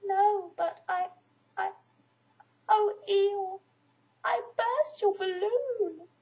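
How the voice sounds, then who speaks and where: A woman's voice: very slow, full character voice. A woman voicing a small, timid piglet who stammers anxiously. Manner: timid, stammering, high-pitched. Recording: dull lo-fi recording.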